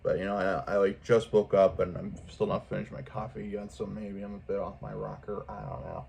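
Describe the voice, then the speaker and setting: A man's voice: on the low side, level tone, brisk, vocal fry, a little mellow, a little hiss or hum. A man speaking casually and unscripted to his viewers in a vlog, rambling earnestly and repeating himself for emphasis. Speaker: video creator speaking to viewers. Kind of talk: casual unscripted vlog monologue, pep talk.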